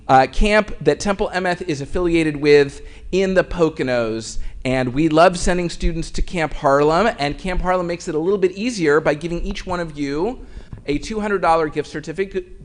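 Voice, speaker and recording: Man leading a synagogue service. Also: on the high side. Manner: conversational and explanatory, with occasional fillers. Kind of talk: explaining to a congregation at a service. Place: synagogue sanctuary.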